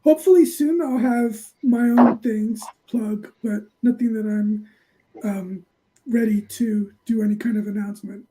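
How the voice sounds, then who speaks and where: Very mellow-voiced woman, casual chat. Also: on the high side, fairly even intonation, normal speed, rough recording. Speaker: podcast panelist.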